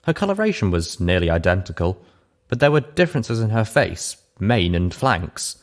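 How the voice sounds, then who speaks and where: Casting: male audiobook narrator, very low-pitched, scripted first-person storytelling. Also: very animated, moderate pace.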